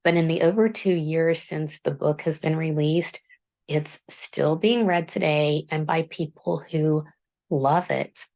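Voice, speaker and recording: Low, gravelly woman musing earnestly. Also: fairly even intonation, a little mellow. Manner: earnest, measured, self-deprecating. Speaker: author and book channel host. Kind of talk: reflective scripted monologue.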